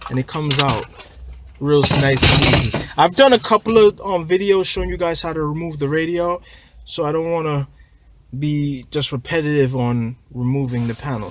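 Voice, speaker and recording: A man presenting an unscripted hands-on installation tutorial, talking informally through each step as he works. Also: a little high. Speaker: car audio installer, presenter. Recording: noisy, clicks and handling of parts.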